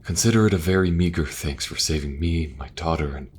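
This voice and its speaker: A soft-spoken young king with an extremely deep, low voice, voiced by a man, gentle and earnest, stumbling over his words when a subject turns awkward. He sounds like a figure in a fantasy audio drama, with a faint hum of noise under him. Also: fairly quick. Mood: warm. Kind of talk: audio roleplay acting.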